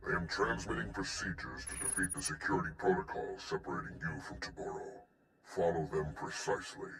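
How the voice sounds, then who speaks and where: A man's voice: deep voice, animated, a little fast, fairly bright tone, slight background noise, low-quality recording. An actor playing a vain, pompous male scientist and weapons designer in a video game. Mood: haughty, precise. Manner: pompous, haughty.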